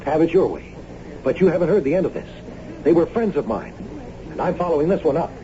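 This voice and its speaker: A man's voice: fairly high pitch, animated, fast, warm tone, natural voice. An actor playing a determined, suspicious man in an old radio drama, stern and insistent. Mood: stern, accusing. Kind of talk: 1970s radio drama acting. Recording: muffled old radio broadcast, faint noise.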